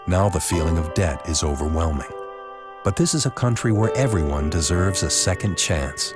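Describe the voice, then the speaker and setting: A male voice-over announcer with a very deep voice, delivering a scripted commercial. His read is earnest and reassuring, with clear music playing underneath. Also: expressive, moderate pace.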